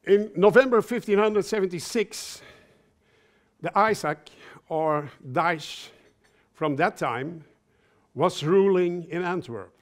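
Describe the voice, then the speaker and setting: A man raised in Belgium, speaking English as an in a conference talk, slow and halting, often repeating words and dropping joking asides. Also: sits high.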